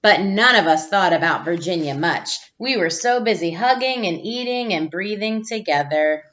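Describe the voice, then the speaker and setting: A woman's voice: fairly low pitch, lively intonation, normal speed, a bit bright, highs cut off. A woman teacher reading a storybook aloud to pupils, expressively, drawing out phrases for emphasis.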